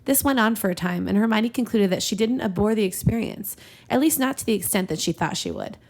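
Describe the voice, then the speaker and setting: A woman's voice: mid-range voice, animated, fairly quick, a little mellow. A woman podcast host reading fan fiction aloud for comedy, giggly and ready to break off into banter.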